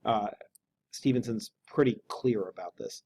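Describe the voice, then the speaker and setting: A man's voice: on the low side, unhurried, darkish tone, slight background noise. A man reading a novel aloud as an English teacher and explaining it in a casual, conversational way.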